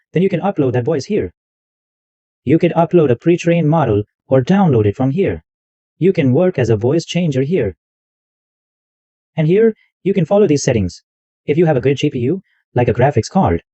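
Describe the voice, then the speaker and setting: A man hosting a tech tutorial, walking through software step by step and repeating himself as he goes. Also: a little high, expressive, normal speed, long pauses, dry recording, lo-fi sound. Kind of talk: screen-recorded software walkthrough.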